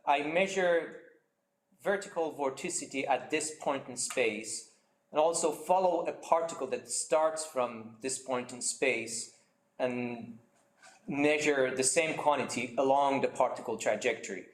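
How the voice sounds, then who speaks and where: A man's voice: mid-range voice, a bit bright, echoey room. Man lecturing over a video call. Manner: explanatory, frequent fillers and restarts. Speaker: seminar speaker. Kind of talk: academic lecture over shared slides.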